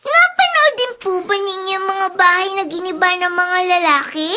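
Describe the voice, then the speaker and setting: A woman's voice: very high voice, moderate pace, sharp tone. A woman playing a polite, curious young girl in a radio drama. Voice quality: polite, childlike.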